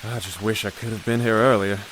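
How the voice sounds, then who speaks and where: An actor playing an earnest, blunt gentleman suitor in a period audio drama, speaking plainly and directly. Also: lowish voice, fairly even intonation, fairly quick, noisy background, natural voice. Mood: grieving, regretful.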